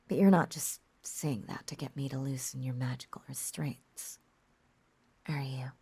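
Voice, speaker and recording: A woman playing a lovesick, obsessive witch in an intimate roleplay audio drama, cooing in a breathy, husky half-whisper with lingering pauses. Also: sits low, dark tone, dry recording, exaggerated voice. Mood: needy, coaxing. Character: lovesick obsessive young witch, possessive, teasing and doting.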